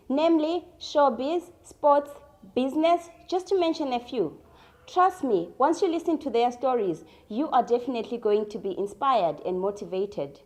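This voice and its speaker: Woman host doing an upbeat intro, high bouncy voice. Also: a little mellow. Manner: upbeat, promotional. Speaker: talk show host. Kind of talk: scripted show intro and interview questions.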